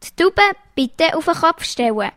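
A woman reading jokes aloud in a lively storytelling way, changing her voice for the quoted lines. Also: very high voice, unhurried, a little hiss or hum.

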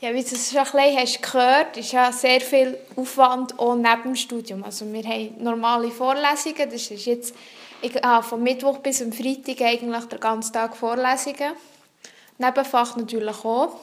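Young female student, high voice, French; earnest and explanatory, searching for words in an interview. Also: little pitch movement, normal speed. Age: young adult. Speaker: psychology student. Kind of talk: answering interview questions.

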